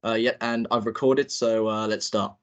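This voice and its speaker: A man's voice: fairly low pitch, steady tone, moderate pace, a bit bright, highs cut off. A young man informally directing a table read and narrating stage directions, a little hesitant. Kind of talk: informal hosting and script narration.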